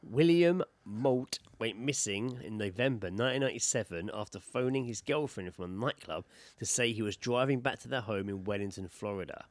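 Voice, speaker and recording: A man's voice: on the low side, fairly quick, no echo. A man co-hosting a podcast, chatting casually and reading out odd news stories in an informal, sweary way. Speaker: podcast co-host.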